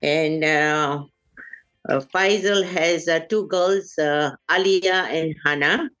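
Older woman interviewee, raised in Uganda, hesitant and slow-spoken, dull lo-fi recording. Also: low-pitched, fairly bright tone, faint music behind. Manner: slow and halting, many fillers. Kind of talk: family oral history interview.

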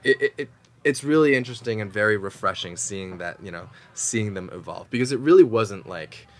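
A man whose first language is Mandarin, a guest in a casual interview, telling stories in a lively way with many fillers. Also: on the low side, a little fast. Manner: lively storytelling, many likes and you-knows. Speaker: actor guest.

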